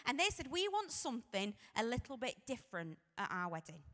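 A woman in her forties with a Sheffield accent tells her story in a chatty, self-mocking way. Her voice sits a little high and comes across bright and forceful. She holds a microphone on a stage, and the large hall adds a long, echoing tail to her words. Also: sing-song, brisk. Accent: Sheffield English. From Sheffield, England. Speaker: vicar. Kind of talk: humorous personal testimony to a youth audience. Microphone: handheld microphone. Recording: echoey hall.

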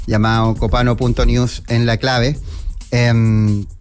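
A middle-aged Chilean man hosting a radio show, giving a solemn, respectful tribute in a measured way, close on a studio microphone. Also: medium pitch, little pitch movement, a little mellow. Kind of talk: solemn tribute monologue, partly read aloud. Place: small indoor radio studio. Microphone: close broadcast microphone with headphones. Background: background music.

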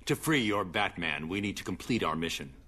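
A stern brooding vigilante in a game, really low hard forceful voice, terse orders. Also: male voice, animated, brisk, breathy edge, heavily acted. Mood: stern command. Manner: terse, commanding. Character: stern, brooding, commanding male masked vigilante hero. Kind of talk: video game cutscene acting.

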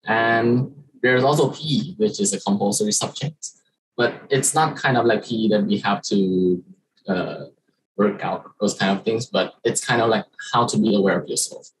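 Young man from Thailand, Thai native speaker, student presenter on a video call; low, slightly husky voice, halting delivery. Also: low-quality recording. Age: young adult. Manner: halting, many fillers and restarts. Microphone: video call webcam.

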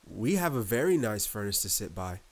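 A man voicing a kind, dreamy little boy in a storybook, speaking gently and earnestly. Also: fairly low pitch, big pitch swings, moderate pace.

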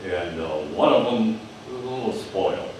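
An older man with a Michigan accent preaching a sermon at a lectern, speaking haltingly with many fillers and repeated words. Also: fairly low pitch, slight background noise. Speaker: pastor. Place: church hall with stage and screen. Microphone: lectern mic from a distance. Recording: echoey hall, distant mic.

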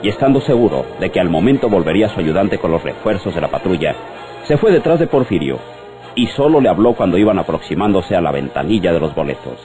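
A man's voice: low-pitched, sing-song, quick pace, mellow. A man giving dramatic scripted narration for a radio drama. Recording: radio drama with music behind.

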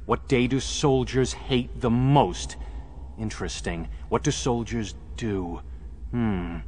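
An actor playing a stern, brooding masked crime fighter in a children's audio drama, deliberate and dry. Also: male voice, medium pitch, darkish tone, slight background noise, lightly acted. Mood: thinking hard.

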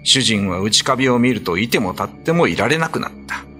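Man, dramatic ghost-story narration, radio drama style, lively intonation, background music. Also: medium pitch, a little fast. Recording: music under the voice.

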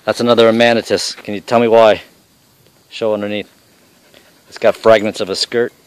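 A middle-aged man with a fairly low voice talks bluntly and casually, tossing off salty remarks. He is outdoors on a forest trail, recorded on a handheld camera. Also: flat delivery, moderate pace, smooth, no echo, low-quality recording. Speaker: father showing mushrooms on a hike.

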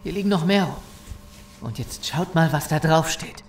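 A man's voice: fairly high pitch, normal speed, slight background noise, close to an everyday voice. A man in a German audio drama playing an eager, easily amazed survivor. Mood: intent.

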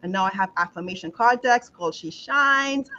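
A woman's voice: mid-range voice, lively intonation, moderate pace, pushed and bright. A middle-aged woman from Trinidad with a Trinidadian accent, a leadership coach talking reflectively on a video call, restarting as she finds her words. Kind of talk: casual podcast conversation. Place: home office with pictures on wall. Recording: slightly dull video call audio.

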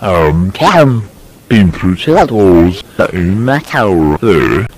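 Young male explorer vlogger, blokey and exclamatory, slow-paced with hugely swinging pitch, noisy camera sound. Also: sing-song. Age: young adult. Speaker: vlogger exploring an abandoned site. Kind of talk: casual exploration vlog chat. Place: abandoned buildings and grounds at night.

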